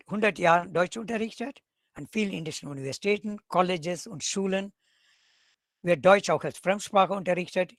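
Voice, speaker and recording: A man's voice: high voice, a bit bright, no echo. Indian man giving an academic talk. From South India. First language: Tamil. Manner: wry asides, switches between German and English. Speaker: professor speaking at a webinar.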